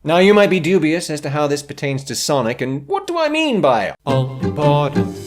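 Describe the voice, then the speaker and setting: A man's voice: a little high, sing-song, normal speed, loud music behind the voice. A man narrating a scripted video essay, with theatrical, sardonic emphasis.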